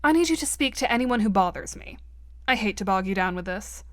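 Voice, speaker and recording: A woman playing a composed, bookish teenage girl with a polite, measured, formal way of talking in an audio drama. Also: mid-range voice, lively intonation, no room sound, clean studio sound, lightly acted. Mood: preoccupied.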